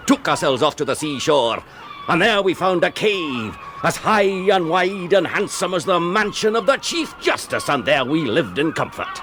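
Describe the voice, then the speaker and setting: A man's voice: on the high side, noisy background. An actor playing a boastful, gleeful Scottish cannibal outlaw in a radio play, telling his tale theatrically and with relish.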